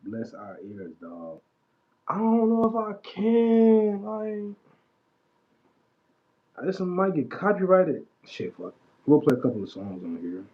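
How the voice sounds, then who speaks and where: A man's voice: sits high, huge pitch swings, takes it slowly, long pauses. Casting: man in his late twenties, laid-back livestream host, slangy and mumbly, muffled stream audio. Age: 20s. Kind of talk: casual livestream chat about music.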